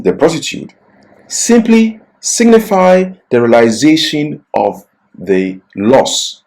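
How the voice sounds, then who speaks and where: A man delivering a scripted Bible lesson in an earnest, deliberate, emphatic manner. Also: medium pitch, a little mellow, poor audio. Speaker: Bible teacher. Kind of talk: religious lesson, scripted exposition.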